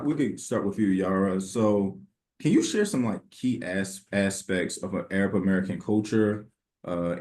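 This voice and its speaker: A man hosting an interview, polite and halting, with frequent fillers. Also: sits low, darkish tone. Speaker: interviewer, host.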